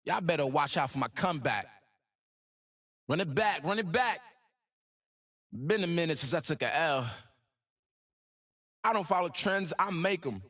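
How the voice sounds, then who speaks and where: A man's voice: on the high side, expressive, a little slow, long pauses, fairly bright tone. An actor voicing a cocky, ambitious young male hip-hop star in a video game, with swaggering, slangy, rhythmic delivery. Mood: boastful. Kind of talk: game voice lines.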